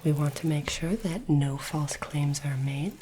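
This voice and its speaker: A woman doing a soft-spoken, whispered ASMR roleplay as a polite, formal alien interviewer, with deliberate phrasing. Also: low-pitched, a little hiss or hum.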